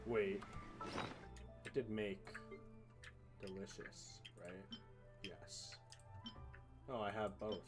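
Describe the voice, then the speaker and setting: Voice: low voice, steady tone, drawn-out words, pauses often. A game streamer giving casual, sweary, wry live commentary and talking back to the game. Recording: loud game music behind.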